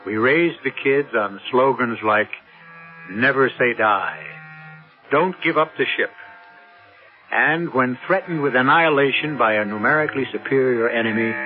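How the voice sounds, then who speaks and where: Muffled radio mystery host, a man. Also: medium pitch. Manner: deliberate, rhetorical, suspenseful. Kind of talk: dramatic scripted narration. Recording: muffled old radio broadcast, music behind.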